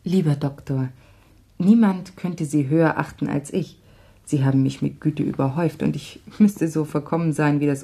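An actress playing a frank, tender lady of old France in a radio play, speaking in a measured, heartfelt way. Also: sits low, gravelly, mellow, slightly rough, heavily acted.

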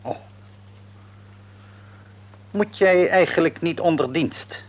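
A man's voice: on the high side, slow, husky. An actor playing a shrewd, patronising middle-aged father and businessman in a Dutch radio play, wordy and probing, with a Netherlands accent. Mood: probing, chummy. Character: shrewd, patronising businessman father, outwardly jovial, secretly domineering. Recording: radio drama recording.

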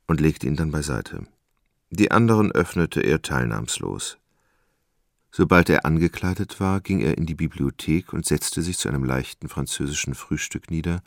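A man narrating a literary radio drama in a dramatic, measured storytelling manner. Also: extremely low pitch, gravelly, dry recording, studio quality.

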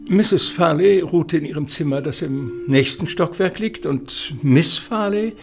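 An actor playing an educated, thoughtful doctor who explains things matter-of-factly in a radio crime drama. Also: male voice, on the high side, unhurried, gravelly, noisy background. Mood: thoughtful, informative. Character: educated, matter-of-fact male doctor assisting a detective.

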